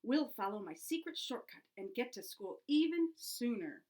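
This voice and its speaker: A woman's voice: fairly high pitch, big pitch swings, moderate pace, darkish tone. A woman voicing an eager, confident schoolboy in a children's picture book.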